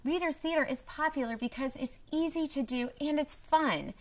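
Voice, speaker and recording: A woman presenting a promotional training video in a scripted, clearly structured explanatory way. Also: sits high, normal speed, background noise. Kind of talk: scripted instructional narration.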